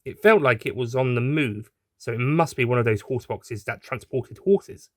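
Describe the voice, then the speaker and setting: A man narrates a scripted audio story. His voice sits at a middling pitch. The sound is dry, with next to no room in it. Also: normal speed, a little mellow, dry recording. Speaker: writer and narrator.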